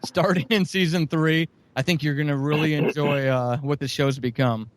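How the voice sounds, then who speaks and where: A man's voice: on the high side, fairly even intonation, normal speed, dry recording. A man speaking as an interview guest, earnestly and emphatically promoting audio shows with effusive enthusiasm. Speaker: guest, audio drama producer.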